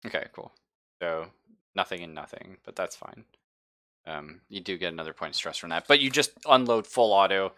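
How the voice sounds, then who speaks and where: A man's voice: sits low, normal speed, a bit bright. A man acting as game master, casually explaining rules and narrating the action in an informal, jokey way. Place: online game session over voice chat.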